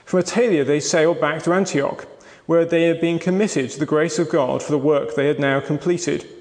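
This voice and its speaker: Gravelly man reading scripture in a hall. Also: fairly high pitch, fairly even intonation, moderate pace, a little mellow. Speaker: scripture reader. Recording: long hall reverb.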